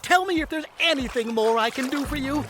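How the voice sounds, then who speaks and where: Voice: high-pitched, brisk, background noise, natural voice. An actor playing a meek, lovesick servant man in an audio drama, hesitant, apologetic and obsequious. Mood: adoring. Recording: music behind the voice.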